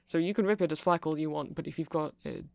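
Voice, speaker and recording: A man playing a nerdy, friendly vampire shopkeeper in an ASMR roleplay, soft-spoken and gravelly, rambling hesitantly as he explains tech. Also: fairly high pitch, steady tone, fast talker, dry recording. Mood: earnest, explanatory. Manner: rambling, hesitant, self-conscious geeky explaining.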